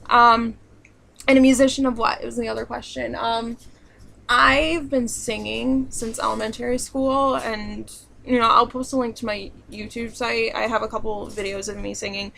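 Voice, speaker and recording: Young woman, southern New York and central Pennsylvania accent, casual vlog answers, rambling filler words, gravelly fry. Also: a little high, little pitch movement, normal speed, sharp tone, slight background noise. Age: young adult. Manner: rambling, bubbly, lots of ums and likes. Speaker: vlogger.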